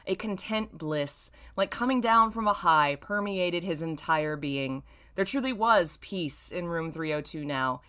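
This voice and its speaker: A woman's voice: on the low side, animated, measured pace, hardly any pauses, bright, forceful tone. A woman in her thirties reading a story aloud like a streamer, with self-mocking, wry asides and theatrical emphasis. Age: 30s. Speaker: streamer reading own old fan fiction.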